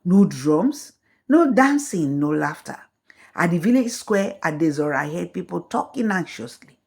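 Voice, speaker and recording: A woman telling a folktale as scripted storybook narration, in a slow, measured way. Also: on the low side, very animated, gravelly, darkish tone, breathy edge.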